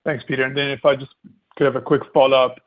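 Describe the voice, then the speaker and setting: A man with a medium-pitched voice speaks over a slightly muffled conference line. He is an analyst putting questions to management, politely and tentatively, feeling his way through the phrasing. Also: flat delivery, fast. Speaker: financial analyst asking questions. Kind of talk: earnings call question. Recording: slightly dull conference call audio.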